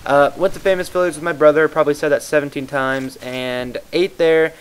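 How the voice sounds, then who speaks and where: A man's voice: fairly high pitch, steady tone, brisk, slight background noise, low-quality recording. A tired young man from the Midwest rambling casually as he commentates over gameplay, often losing his train of thought. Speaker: YouTube gaming commentator.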